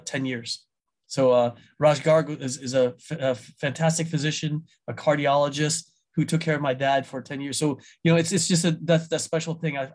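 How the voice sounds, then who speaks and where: A man running for Congress, answering interview questions earnestly and often repeating words as he restarts. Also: medium pitch. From United States. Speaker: congressional candidate.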